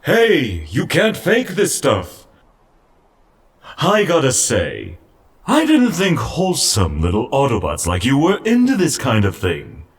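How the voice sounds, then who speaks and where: A man's voice: medium pitch, wildly swooping pitch, slow pace, full character voice. A man playing a shady, greedy robot dealer in a comic dub, smug and wheedling. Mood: smug, sly. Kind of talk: comic book dub acting.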